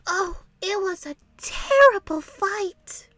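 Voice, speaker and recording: A woman's voice: extremely high pitch, expressive, slow, fairly bright tone, no echo, pitched above the performer's own voice, exaggerated voice. A woman voicing a boastful, melodramatic female storybook animal who tells tales with theatrical exaggeration. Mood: boastful, dramatic. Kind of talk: children's storybook character voice.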